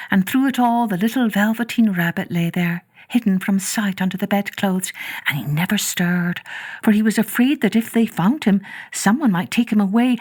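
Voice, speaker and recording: Expressive woman storyteller, mid-pitched. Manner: measured, expressive storytelling with drawn-out words. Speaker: storyteller, podcast host. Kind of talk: scripted children's story narration.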